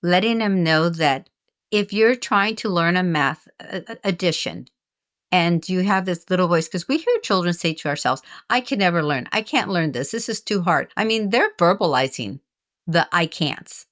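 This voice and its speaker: An older woman with a slightly low voice talks about her own life in an interview. She is earnest and reflective, often breaking off and restarting her phrases as she searches for the right words. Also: animated, normal speed, fairly bright tone. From United States. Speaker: guest, author and retired teacher. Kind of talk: candid interview conversation.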